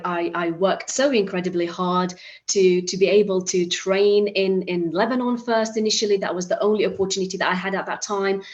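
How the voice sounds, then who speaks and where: Earnest woman on lo-fi call audio. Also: medium pitch. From Iraq. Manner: earnest, with many restarts and fillers. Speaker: summit speaker. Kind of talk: motivational talk.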